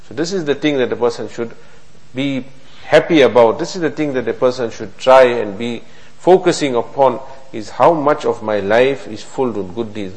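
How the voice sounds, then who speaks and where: A man giving a hadith lesson in an earnest, repetitive preaching manner, asking rhetorical questions and reciting Arabic quickly. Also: mid-range voice, a little mellow, rough recording. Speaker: religious teacher.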